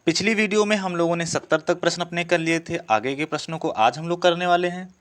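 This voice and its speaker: A man's voice: on the high side, fast, fairly bright tone. A man teaching through exam practice questions, explaining step by step and repeating key words. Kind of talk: instructional walkthrough of quiz questions.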